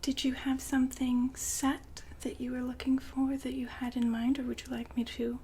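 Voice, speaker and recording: A woman playing the poised, businesslike madam of a futuristic establishment in an ASMR roleplay, whispering softly and formally to a client. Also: sits high, completely flat delivery, a little hiss or hum. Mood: smooth, attentive. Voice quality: soft, breathy whisper. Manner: polite, formal, unhurried saleswoman patter.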